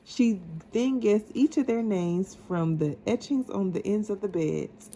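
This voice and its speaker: A mother reading a storybook aloud to her child in a patient, deliberate, encouraging way. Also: medium pitch, warm tone, slight background noise.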